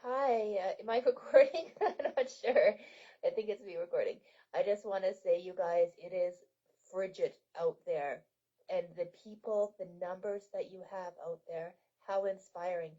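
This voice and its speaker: A woman's voice: medium pitch, normal speed, warm tone. A woman from Canada calling in to a live chat, enthusiastic and a little unsure of the tech. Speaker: caller. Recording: lo-fi online voice chat audio.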